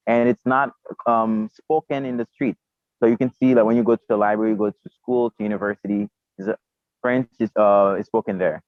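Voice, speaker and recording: A man with a Haitian accent speaks in a low voice, hesitating and repeating words as he talks. He is joining remotely from outdoors, and his voice comes through a tinny, phone-like line. The sound is dry, with no room echo. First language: Haitian Creole. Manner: halting, repeats words and fillers. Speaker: podcast guest, polyglot.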